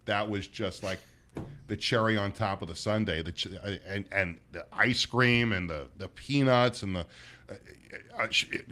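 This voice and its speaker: A middle-aged man hosting a casual sports talk show, his voice a little raspy, rambling with many restarts and sounding sluggish. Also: on the low side, moderate pace, sharp tone. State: sluggish, low energy. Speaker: sports radio host.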